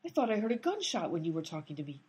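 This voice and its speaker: A woman's voice: on the low side, very animated, racing, darkish tone, husky, heavily acted. A woman playing an exasperated, blunt, tough-talking detective in a comedic radio play. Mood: tough, demanding.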